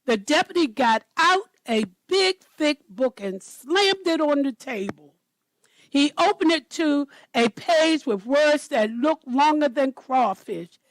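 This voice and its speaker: A woman storyteller reading a children's picture book aloud in a deliberate manner. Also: sits high, lively intonation, dry recording, rough recording.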